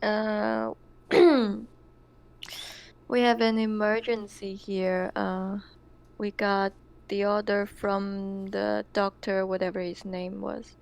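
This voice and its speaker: A woman speaks slowly with a gravelly, creaky edge to her voice. She giggles often and stumbles over her words while playing a tabletop game over a voice call. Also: mid-range voice, level tone. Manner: giggly, hesitant restarts. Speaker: player.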